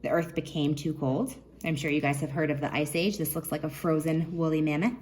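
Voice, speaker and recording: A woman children's librarian reading a nonfiction book aloud, expressively, pausing to put questions to young listeners. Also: deep voice, fairly even intonation, a little mellow.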